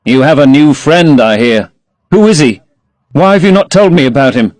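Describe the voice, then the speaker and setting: A man's voice: a little high, animated, moderate pace, a little mellow, no echo, voice pushed up, natural voice. A man reading aloud and voicing a sullen, protective young man who speaks bluntly.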